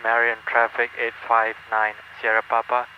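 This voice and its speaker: A man's voice: mid-range voice, completely flat delivery, moderate pace, dull audio, heavy background noise. A man as a student pilot, giving short, tentative replies and questions over an aviation headset in a small plane. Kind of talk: brief cockpit replies and radio practice. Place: small airplane cockpit.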